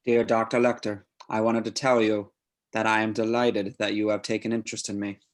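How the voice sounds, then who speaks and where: Man playing a low-voiced, obsessed serial killer. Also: little pitch movement, fairly quick, lightly acted. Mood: reverent admiration. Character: obsessed male serial killer writing to his idol. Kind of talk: screenplay table read.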